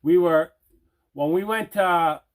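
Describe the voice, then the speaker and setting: A man with a slightly high voice hosts an unboxing vlog for collectors. He talks casually and leans on repetition to drive his points home. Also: slow pace. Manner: repetitive, emphatic phrasing. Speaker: collector and video channel host.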